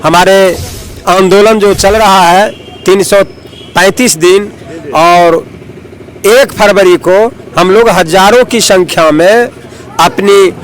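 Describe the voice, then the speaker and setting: A man, an activist making an emphatic protest statement in short, halting phrases during a street interview. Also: sits high, slight background noise, rough recording. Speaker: campaign activist.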